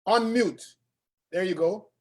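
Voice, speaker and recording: A man's voice: high voice, takes it slowly. A man casually hosting an online session in a jovial, teasing way. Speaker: host moderating an online session. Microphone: video call.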